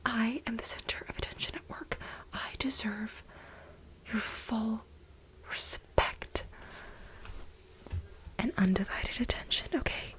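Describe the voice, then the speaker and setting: A woman playing a clingy, unhinged millennial office assistant in a breathy, halting, soft-spoken ASMR role play. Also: on the high side, poor audio. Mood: indignant, entitled. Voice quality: breathy, whispery, creaky. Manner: halting, dramatic, self-justifying.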